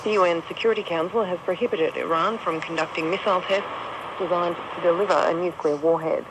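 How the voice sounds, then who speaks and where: An Australian woman reads a radio news bulletin in a scripted style. She comes through a shortwave radio, with clear noise and outdoor ambience around her. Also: lowish voice, fairly even intonation, fast. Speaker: news reader. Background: radio static and outdoor ambience.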